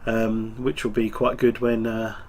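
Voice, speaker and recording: A man's voice: fairly low pitch, a little slow. A man with a cold and a stuffy nose, hosting a wargaming hobby channel and chatting casually with lots of ums. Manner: relaxed, rambling, full of ums. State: congested with a cold. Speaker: tabletop wargaming channel host. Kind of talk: casual hobby show-and-tell.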